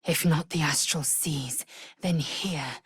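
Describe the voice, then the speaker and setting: A woman playing a proud, fierce githyanki warrior in a video game, with a husky, raspy voice and a clipped, formal, commanding way of talking. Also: low-pitched, takes it slowly, no room sound, clean studio sound, heavily acted. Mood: hushed, grave. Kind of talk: video game character voice lines.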